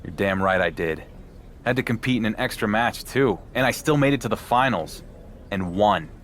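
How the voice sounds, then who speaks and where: A man's voice: fairly low pitch, expressive, normal speed, fairly bright tone. A man playing a cocky, defiant champion wrestler in a video game, confident and pointed in his talk. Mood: defiant, determined. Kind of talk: dramatic video game dialogue. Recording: faint background noise.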